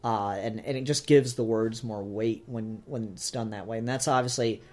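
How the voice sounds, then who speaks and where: A man co-hosting a casual review discussion, thinking aloud in a halting way with repeated phrases. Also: lowish voice, fairly even intonation, a little mellow. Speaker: podcast co-host reviewing a fan film.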